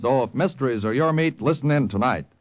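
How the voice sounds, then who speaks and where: A man's voice: medium pitch, expressive, brisk, no room sound. A man announcing in a polished, formal 1950s network radio style. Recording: dull old radio broadcast recording.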